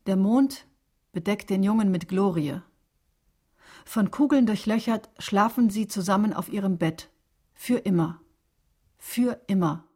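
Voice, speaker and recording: A woman reciting poetry in a radio drama production, measured and delivered in short deliberate phrases. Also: medium pitch, darkish tone, dry recording, studio quality, close to an everyday voice. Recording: music behind the voice. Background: music.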